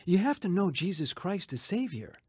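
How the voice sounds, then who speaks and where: Radio drama role: devout male coworker, sincere and persistent, slightly rough voice, lively intonation, dry recording. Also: high-pitched, normal speed, no room sound, heavily acted. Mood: earnest. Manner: earnest, emphatic, recites scripture solemnly.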